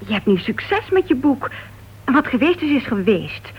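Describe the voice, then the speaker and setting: A husky, animated wife who presses and needles her husband. Her voice sits fairly high, in a dull old radio drama recording. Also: normal speed, full character voice. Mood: reproachful. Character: nagging, socially ambitious wife of a novelist. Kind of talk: radio play dialogue.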